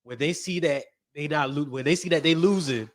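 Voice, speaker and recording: Hesitant, slightly high male co-host. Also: fairly quick. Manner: hesitant, restarting, reasoning aloud. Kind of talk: casual talk-show discussion.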